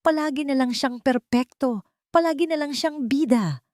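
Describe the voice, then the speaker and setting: A woman voicing a spiteful, envious and scheming aunt in an audio story, haughty and accusing, with a shrill edge. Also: moderate pace, a little mellow, no room sound, studio quality, natural voice. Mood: spiteful fury.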